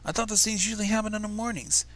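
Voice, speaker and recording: A man's voice: sits high, steady tone, racing, a bit bright, pitched above the performer's own voice. A man reading the part of a nervous ordinary man in a story, hesitant and anxious.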